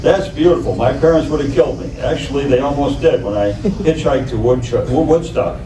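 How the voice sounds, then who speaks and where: Man acting good-humored older storyteller, medium pitch, readers theater, distant mic, background noise. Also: normal speed, lightly acted. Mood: amused, nostalgic. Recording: echoey room, distant mic, clear noise.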